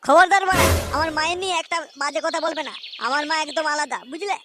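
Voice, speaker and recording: A man playing a crude, hot-tempered young son-in-law in a comic cartoon, swearing and grumbling sarcastically in a pitched-up voice. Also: fast talker. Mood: angry, crude. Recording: noisy track with clicks and chirping birds.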